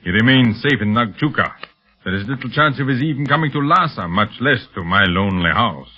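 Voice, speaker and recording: An actor playing a smug, cold criminal mastermind with imperious, formal speech in a 1930s radio serial. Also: male voice, mid-range voice, lively intonation, brisk, breathy edge. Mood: scheming, assured. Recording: muffled, lo-fi 1930s radio recording.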